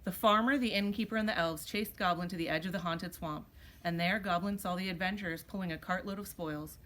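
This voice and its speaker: A woman's voice: lowish voice, brisk, a bit bright. A woman teacher reading a children's storybook aloud expressively.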